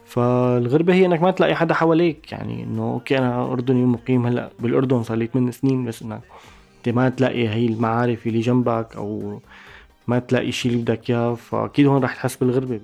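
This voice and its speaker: Gravelly fast-talking Syrian man. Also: medium pitch, steady tone, darkish tone, faint music behind. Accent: Syrian Arabic. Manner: thoughtful, pauses and restarts mid-phrase. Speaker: musician interviewed. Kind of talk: reflective interview talk.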